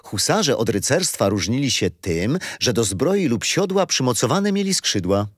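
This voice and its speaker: A man's voice: mid-range voice, moderate pace, husky, no echo, studio quality. An actor playing a kindly grandfather who patiently tells history to his grandchildren in a children's radio play. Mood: kindly, explaining. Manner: patient, storytelling, expressive.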